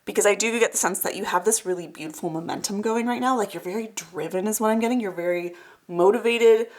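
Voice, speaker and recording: A woman's voice: mid-range voice, quick pace. A woman hosting a card reading, speaking casually and directly to the viewer in a reassuring, encouraging way, slightly congested from a cold. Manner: reassuring, encouraging, emphatic repetition. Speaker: host of tarot card readings.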